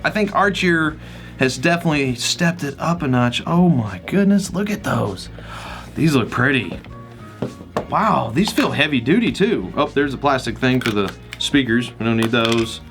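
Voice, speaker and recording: A man's voice: mid-range voice, lively intonation, moderate pace, music in the background. A man hosting a gadget unboxing video, talking casually and enthusiastically to the camera in an informal, chatty way. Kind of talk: casual product review to camera.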